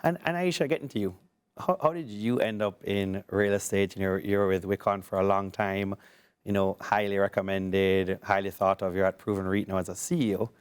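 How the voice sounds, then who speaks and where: A man's voice: low voice, fairly even intonation, a little fast, clear, resonant tone. A man hosting a casual interview, conversational and loose, leaning on filler phrases. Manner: conversational, leans on fillers like "you know". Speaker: interview host.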